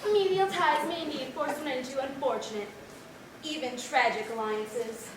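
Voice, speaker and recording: A woman's voice: sits high, normal speed, natural voice. A teenage girl acting a haughty, disdainful period lady, speaking in florid, pompous stage speeches. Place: large theater stage, indoors. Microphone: far camera. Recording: very echoey, noisy auditorium from far off.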